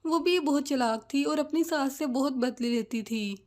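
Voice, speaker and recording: Woman narrator, high voice, scripted storytelling, children's cartoon. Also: moderate pace, darkish tone.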